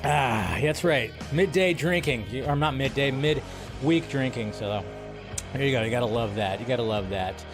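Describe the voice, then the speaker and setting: A man's voice: medium pitch, normal speed. A man hosting a casual live stream from home, chatting loosely with viewers and reading their comments, with a bit of mild swearing. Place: at home by a window. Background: faint intro music.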